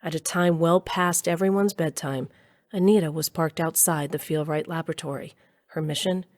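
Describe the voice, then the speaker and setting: A woman's voice: low voice, steady tone, normal speed, a little mellow, dry recording, clean studio sound, lightly acted. An actress playing a nostalgic woman scientist who narrates an audio drama in a dramatic first-person storytelling manner. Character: woman scientist Grace, wife and mother, nostalgic ex-rocker.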